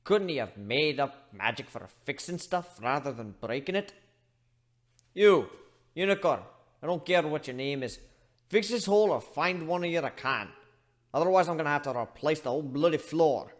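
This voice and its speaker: Man voicing a gruff, animated old-salt pirate grumbler. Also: fairly high pitch, normal speed, close to an everyday voice. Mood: exasperated. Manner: grumbling, rough colloquial speech. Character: gruff, grumbling pirate shipbuilder, male, fond of whiskey. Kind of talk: dramatic reading of fan fiction.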